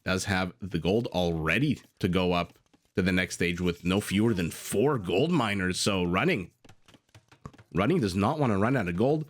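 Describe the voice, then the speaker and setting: Raspy, chatty male game caster. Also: sits low, moderate pace. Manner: chatty, excitable, asides and exclamations. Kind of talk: strategy game match commentary. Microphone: desktop USB condenser mic.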